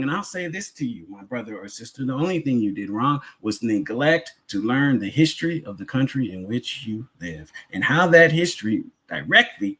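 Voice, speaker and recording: Animated, husky-voiced American man preaching. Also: fairly high pitch. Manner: emphatic, conversational, with repetition and rhetorical questions. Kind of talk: sermon-like lecture to a congregation.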